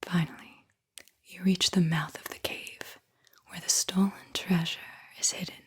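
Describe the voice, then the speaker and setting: A woman whispering a guided visualization in an ASMR style, with a breathy, airy voice and a soothing, deliberate delivery. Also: lowish voice. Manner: slow, soothing, deliberate delivery with repeated phrases. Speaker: host, guided visualization narrator.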